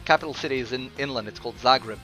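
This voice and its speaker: Bright-voiced Croatian-accented man streaming. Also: mid-range voice, soft background music. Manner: chatty, answers viewer chat with frequent "uh" pauses. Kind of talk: casual gameplay commentary.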